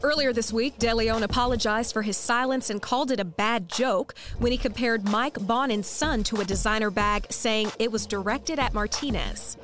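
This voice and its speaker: A woman anchoring a news program, reading headlines in a crisp, scripted way. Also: on the high side, normal speed, few pauses, a bit bright, faint music behind.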